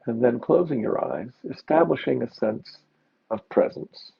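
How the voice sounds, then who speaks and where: A man teaching meditation, giving gentle, unhurried guided mindfulness instructions with pauses. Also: medium pitch, creaky, breathy edge. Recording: dull, muffled lo-fi recording.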